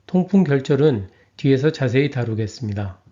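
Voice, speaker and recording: A man reading a scripted health lecture in an even, measured way. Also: mid-range voice, muffled recording.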